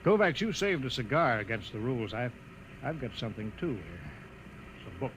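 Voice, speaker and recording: Reflective naval officer, measured delivery, medium pitch, vintage sci-fi radio play, noisy recording. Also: male voice, big pitch swings, fairly bright tone. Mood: resigned, reflective. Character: reflective, idealistic naval officer aboard a doomed underground cruiser. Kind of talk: 1950s radio drama acting.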